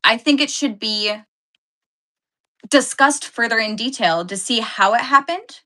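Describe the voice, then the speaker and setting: Bright-voiced woman streaming live. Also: on the high side, a little slow. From Canada. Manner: chatty, laughs often, rambles and corrects herself. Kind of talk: casual live-stream chat answering viewer comments.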